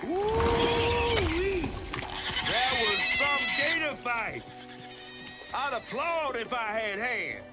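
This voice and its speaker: Folksy old southern catfish character for a game, wry storyteller, super animated, music under it. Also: male voice, very high-pitched, very slow, full character voice. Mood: amused, whooping. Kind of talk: video game character dialogue and storybook narration.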